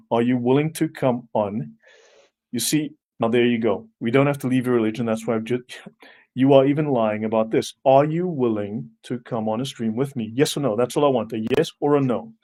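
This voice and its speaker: A man hosting a live stream, talking forcefully and repeatedly challenging a viewer. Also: mid-range voice. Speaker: host. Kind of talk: combative livestream talk.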